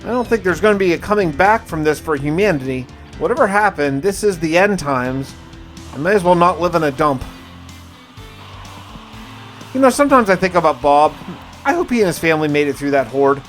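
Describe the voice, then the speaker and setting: A man's voice: on the high side, expressive, brisk, fairly bright tone. A man narrating a survival game playthrough in a conversational, first-person storytelling way, as a roleplaying video host. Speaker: gaming video host and player. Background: music.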